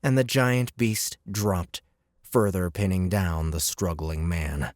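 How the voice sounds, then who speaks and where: A man's voice: deep voice, slow pace, no echo, clean studio sound. A man narrating an audiobook with dramatic, scripted delivery. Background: loud music.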